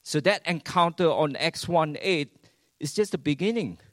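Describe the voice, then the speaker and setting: A man preaching a sermon in English. He swings between hushed lines and loud ones and keeps asking the congregation rhetorical questions. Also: a little high, normal speed, dry recording, clean studio sound. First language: Chinese.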